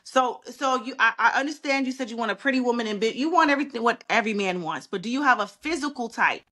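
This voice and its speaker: A woman's voice: on the high side, fast, pushed and bright. A woman co-hosting a podcast, upbeat and talkative, recorded remotely. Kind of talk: casual podcast chat.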